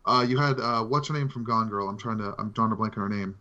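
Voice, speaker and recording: A man with a bright, forceful voice at a medium pitch co-hosts a casual podcast about films. He is informal and conversational, recorded on a slightly dull microphone. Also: fast talker. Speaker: podcast co-host. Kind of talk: casual film discussion among friends.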